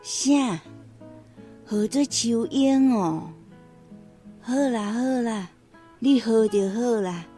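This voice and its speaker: An old-fashioned Taiwanese Hokkien grandmother in a radio play. She speaks slowly and emphatically, stretching her words, with faint music behind her. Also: on the high side, mellow. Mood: grudging. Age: older. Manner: sighing, drawn-out, emphatic delivery. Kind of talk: radio drama acting.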